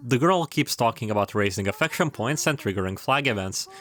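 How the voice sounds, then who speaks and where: A man's voice: mid-range voice, animated, brisk, fairly bright tone. A man narrating in a casual, scripted video style, with wry, irreverent, slangy joking asides. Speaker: video essayist.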